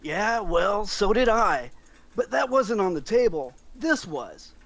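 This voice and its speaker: A man's voice: sits high, very animated, slow, gravelly, a bit bright, dry recording, exaggerated voice. A man playing a laid-back young surfer scientist with slangy bro phrasing in a script read. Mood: casual. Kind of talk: scripted table read, acting.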